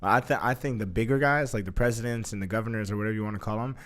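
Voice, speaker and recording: Young man, slightly low voice, casual storytelling, animated, lots of fillers, swears freely. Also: racing. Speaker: guest.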